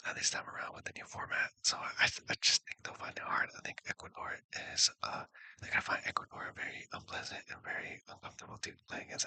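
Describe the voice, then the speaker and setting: A man speaking in a breathy whisper, giving casual, rambling sports predictions and often correcting himself. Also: sharp tone, no echo. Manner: hesitant, rambling, self-correcting. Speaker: sports video creator.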